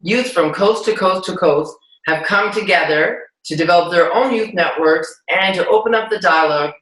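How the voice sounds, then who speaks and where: A man with a high, bright, forceful voice introduces an online youth event. He reads his introductions in an upbeat way, and the sound has a roomy echo around it. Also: moderate pace. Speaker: host.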